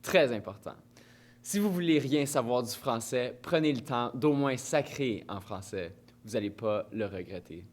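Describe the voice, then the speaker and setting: A man's voice: mid-range voice, lively intonation, measured pace. A young man delivering cheeky, joking lines in a scripted comedy sketch. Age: young adult. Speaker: youth project member.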